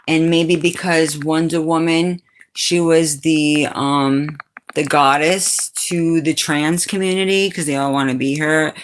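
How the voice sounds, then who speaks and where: A middle-aged woman from Brazil with a raspy, fairly low voice, chatting casually with viewers on a livestream and calling them sweetheart and darling. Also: fairly even intonation, measured pace, a little hiss or hum. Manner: laughs often, calls viewers sweetheart and darling. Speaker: livestream host. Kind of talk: casual chat answering viewers.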